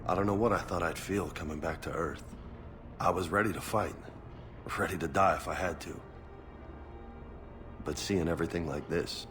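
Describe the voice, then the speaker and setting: Deep-voiced, loyal soldier. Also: male voice, fairly even intonation, normal speed, long pauses, a bit bright. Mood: subdued, troubled. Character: brave, loyal, sombre male soldier. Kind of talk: naturalistic game dialogue. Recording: music behind the voice. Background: game music.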